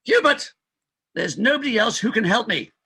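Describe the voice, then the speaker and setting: A man's voice: high-pitched, big pitch swings, normal speed, pushed and bright, slight background noise, heavily acted. A man playing a desperate, insistent married doctor who cajoles a colleague in a stage farce. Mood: desperate pleading. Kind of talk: stage farce readers theater acting.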